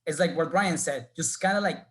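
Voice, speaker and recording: A man's voice: on the high side, a little fast. A man from Colombia with a Colombian Spanish accent, speaking earnestly as an alumnus on a webinar panel.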